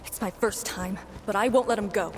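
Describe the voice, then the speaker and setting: A woman's voice: mid-range voice, brisk, natural voice. Actress as a resolute young warrior woman, noisy backdrop. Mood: determined. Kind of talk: dramatic video game voice acting.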